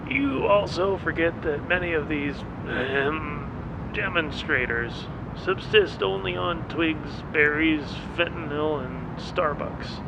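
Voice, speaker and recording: A man voicing a wry, taunting street bum and vigilante sidekick in an animated series, mock-polite and sardonic. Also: takes it slowly, heavily acted. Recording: clear low rumbling background noise.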